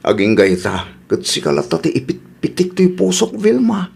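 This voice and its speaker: A man's voice: fairly high pitch, big pitch swings, moderate pace, gravelly, darkish tone, slightly rough, exaggerated voice. Lovesick, pleading jilted man played by an actor. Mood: pleading, hurt. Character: lovesick jilted man who cannot move on. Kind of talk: comedy radio drama acting.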